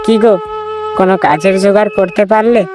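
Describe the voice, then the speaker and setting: A man's voice: high-pitched, steady tone, moderate pace, pitched above the performer's own voice, lightly acted. A man voicing a fed-up, scolding village wife in a cartoon folk tale. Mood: questioning. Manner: nagging, reproachful. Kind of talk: cartoon fairy-tale voice acting. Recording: loud background music.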